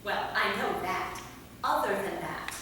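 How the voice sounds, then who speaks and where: A woman playing a warm, chatty centuries-old vampire in a staged play reading, conversational and gently probing. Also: mid-range voice, a little slow, natural voice. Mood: chatty, teasing. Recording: echoey hall, distant mic, faint noise.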